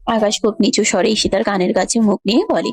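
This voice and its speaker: A woman's voice: mid-range voice, steady tone, quick pace, a little mellow. A woman giving scripted narration of a romantic story.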